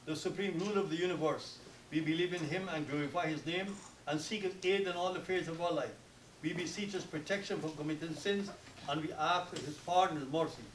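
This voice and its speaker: A man from Guyana with a Guyanese accent, officiating a wedding and giving a solemn, formal sermon with rapid Arabic recitation. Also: fairly high pitch, echoey room, recorded from a distance, slight background noise, rough recording.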